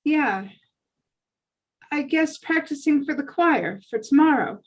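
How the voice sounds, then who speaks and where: A woman's voice: high voice, expressive, takes it slowly. A woman playing a kindly, apologetic, welcoming church woman in a dramatic script reading. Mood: gentle, inviting.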